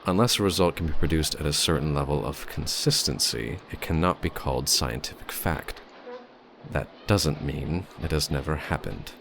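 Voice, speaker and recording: A man playing an aloof, self-assured doctor of science in an audio drama, speaking in a measured, pedantic and guarded way. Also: a bass voice, vocal fry, a bit bright, a little hiss or hum, exaggerated voice. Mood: cool, exacting.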